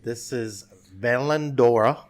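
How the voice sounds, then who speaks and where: A man co-hosting a podcast, speaking casually and in a halting way. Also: mid-range voice. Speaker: podcast co-host. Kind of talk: casual banter and halting read-aloud.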